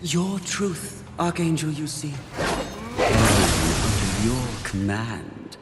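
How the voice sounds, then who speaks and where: Seductive game demon: sly, mocking, unhurried, lively swoops, cavernous reverb. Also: male voice, medium pitch. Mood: coaxing, flattering. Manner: silky, mocking, theatrical. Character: sly, seductive male demon of lust, a tempter. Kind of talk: dramatic video game voice acting. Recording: echoey hall with faint noise.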